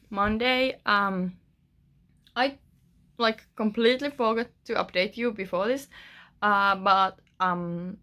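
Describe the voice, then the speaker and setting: A young woman speaks English with a Finnish accent in a loose, chatty vlog update. Her voice sits at an ordinary middle pitch. She rambles and fills pauses with "uh" and "like", often restarting her sentences. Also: a bit bright. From Finland. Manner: rambling, many fillers and restarts. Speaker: author vlogger. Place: bedroom.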